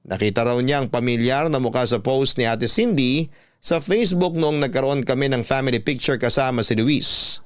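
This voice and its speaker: A man's voice: mid-range voice, normal speed, smooth, no room sound. Male radio drama narrator, dry sound. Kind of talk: scripted first-person story narration.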